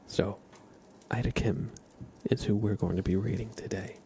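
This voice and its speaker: A man chatting softly as an ASMR livestream host, hushed and often slipping toward a whisper. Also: lowish voice, fairly even intonation, normal speed, slight background noise. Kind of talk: soft-spoken ASMR livestream chat.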